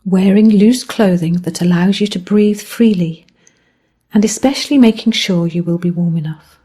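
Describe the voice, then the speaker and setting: A woman guiding a body scan meditation as a mindfulness teacher, speaking gently in measured phrases that end softly. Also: medium pitch, slightly rough.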